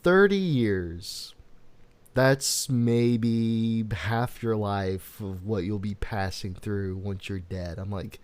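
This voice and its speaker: A man's voice: fairly low pitch, takes it slowly. A man in his late twenties from the United States, a podcast host chatting casually and acting out quoted dialogue in his stories. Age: late 20s. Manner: animated storyteller, acts out quotes. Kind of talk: casual chat.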